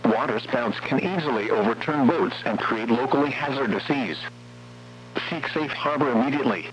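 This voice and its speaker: A man's voice: mid-range voice, normal speed, crowd noise. An American man reading formal weather warning bulletins as a radio announcer, in an impersonal, synthetic-sounding delivery. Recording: muffled, noisy radio broadcast with hum.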